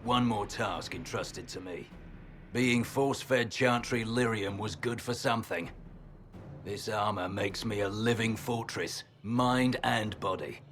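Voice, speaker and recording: A menacing game villain, a bitter and defiant general, speaks slowly and deliberately over faint music. His voice is medium in pitch with a sharp, forceful edge. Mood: proud, menacing. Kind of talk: video game voice acting.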